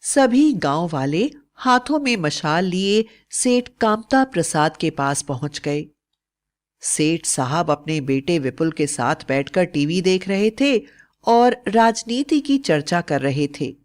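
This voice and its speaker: A woman's voice: fairly low pitch, lively intonation, slow. A woman narrator reading a scripted story.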